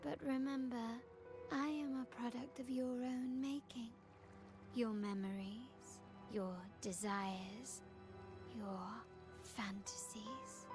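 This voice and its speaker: An actress playing a poised, mocking doppelganger of a proud noblewoman in a video game, speaking in a measured, theatrical way. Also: on the high side. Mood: solemn, seductive.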